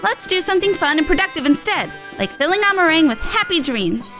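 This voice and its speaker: Little game pixie, very high voice, lively swooping pitch, whimsical, sentimental, chatty. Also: female voice, quick pace. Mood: breezy, restless. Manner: whimsical, chatty, musing aloud. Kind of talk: acted reading of video game dialogue. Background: game music.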